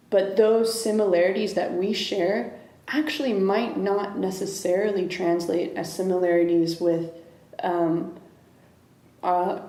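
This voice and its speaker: A woman speaks as an interview guest, pausing and restarting as she searches for the right words. Her voice sits low and mellow, with a gravelly, fried edge. The recording carries a noticeable echo from the room. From United States. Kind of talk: reflective interview conversation. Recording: echoey room.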